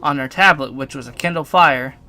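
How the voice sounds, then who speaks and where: A man's voice: medium pitch, brisk, pushed and bright. A young adult man telling a personal story casually, partly from a script, with informal, self-deprecating asides and some swearing. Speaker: storytime video narrator.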